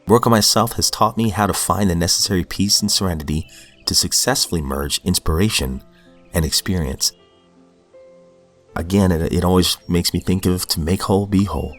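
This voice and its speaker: A man's voice: sits low, moderate pace, a bit bright, soft background music. A man hosting a daily recovery reading podcast, reading passages aloud and then reflecting earnestly, sounding sniffly from a cold. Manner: earnest, reflective, with fillers and restarts.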